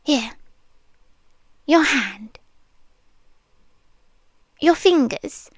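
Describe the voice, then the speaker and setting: Brief: British woman as a controlling nurse, breathy ear-close whispers, very slow, high voice. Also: animated, no echo. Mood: coaxing. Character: possessive female nurse, soft and controlling. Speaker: ASMR roleplay performer. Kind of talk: whispered ASMR roleplay.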